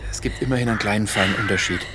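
An actor playing a laconic provincial police detective in a naturalistic radio drama, with a dry, slightly hesitant way of talking. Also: male voice, fairly low pitch, rapid-fire, noisy background, close to an everyday voice. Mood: uneasy, businesslike. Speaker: police chief inspector.

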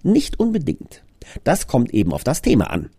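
A man's voice: mid-range voice, wildly swooping pitch, moderate pace, dark tone, husky, dry recording, clean studio sound. A man presenting his own audio drama in a scripted but conversational trailer, explaining things earnestly.

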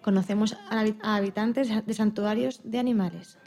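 A woman's voice: mid-range voice, steady tone, fairly quick, mellow. A woman co-hosting a radio programme in a conversational interview style.

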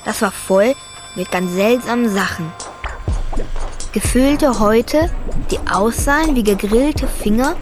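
A woman's voice: on the high side, a little slow, pauses often, close to an everyday voice. Plucky, earnest boy narrator voiced by a woman. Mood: curious. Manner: simple, earnest storytelling. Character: Afghan boy of about ten, wide-eyed, plucky narrator. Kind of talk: scripted first-person radio play narration. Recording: clear music under the voice.

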